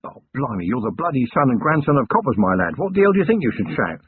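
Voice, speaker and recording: A man voicing a weary, gruff middle-aged police commander with a dry, sardonic manner in an audiobook. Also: medium pitch, very animated, fast, a little hiss or hum, pitched above the performer's own voice, lightly acted. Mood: brusque. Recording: tinny lo-fi narration recording.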